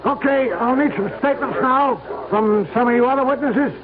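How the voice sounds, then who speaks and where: An actor playing a brisk, skeptical American police sergeant in a radio drama. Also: male voice, very high-pitched. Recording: tinny old radio, crowd murmur behind.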